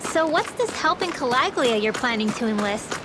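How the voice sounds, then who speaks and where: A woman's voice: sits high, lively intonation, normal speed, background noise. An actress playing a hesitant but perceptive young girl mage in a video game, with music behind her. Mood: concerned.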